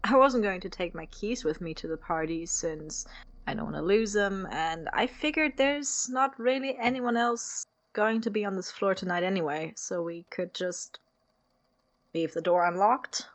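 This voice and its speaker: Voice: medium pitch, normal speed, no echo, lightly acted. A performer playing a breezy, casual young male college roommate in an audio drama, offhand and easygoing. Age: young adult.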